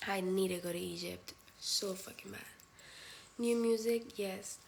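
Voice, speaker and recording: A woman's voice: medium pitch, fairly even intonation, measured pace, gravelly. A woman hosting a casual livestream from her phone, talking bluntly and swearing freely. She drops Spanish phrases in between her sentences, with a faint hum of background noise underneath her voice. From Spain. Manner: candid, blunt and profane. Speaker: livestream host. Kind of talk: casual live chat with viewers.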